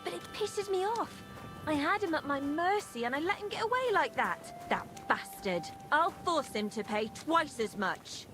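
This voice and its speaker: A woman's voice: high voice, animated, normal speed, over music. An actress playing a scheming, foul-mouthed, bossy woman in a video game, speaking in a conspiratorial, coarse way. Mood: angry, vengeful. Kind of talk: dramatic video game voice acting.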